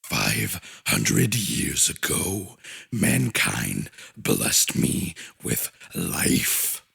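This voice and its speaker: A man voicing a colossal ancient monster god, solemn and commanding, with a very deep rumble and slow, portentous delivery. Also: wildly swooping pitch, gravelly, a bit bright, husky, no room sound, studio quality, exaggerated voice. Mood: solemn, brooding.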